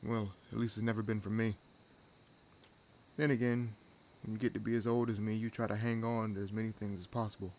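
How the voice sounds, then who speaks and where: A low male voice , an actor's, plays an ancient vampire in an audio roleplay. He is wry and world-weary, musing in a dry, sardonic way with unhurried pauses. Crickets and night ambience sit clearly behind him. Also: flat delivery, a little mellow, a little hiss or hum, lightly acted. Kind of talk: audio roleplay acting addressed to the listener. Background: added forest ambience with crickets and insects.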